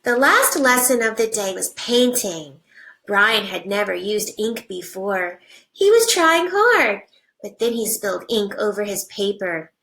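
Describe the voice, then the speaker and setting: A woman teacher reading a picture book aloud to young children, with simple deliberate phrasing and hushed asides. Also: medium pitch, sing-song, rough recording. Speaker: first grade teacher.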